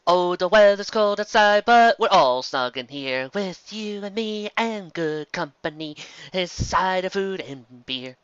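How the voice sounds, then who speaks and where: A man voicing a cheerful, sociable young female tavern pony in a storybook, lively and given to folk-song singing. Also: medium pitch, unhurried, clean tone, no room sound, muffled recording, pitched above the performer's own voice, lightly acted. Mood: cheery.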